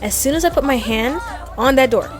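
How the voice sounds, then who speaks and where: A young woman chatting through a fitness vlog voice-over, telling a story with quick self-deprecating laughs. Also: high voice, over music. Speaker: fitness vlogger. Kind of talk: voice-over narration.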